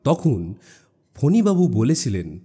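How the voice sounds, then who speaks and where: A man narrating a suspense story as an audiobook, expressive and dramatic, with deliberate pauses. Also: medium pitch, husky.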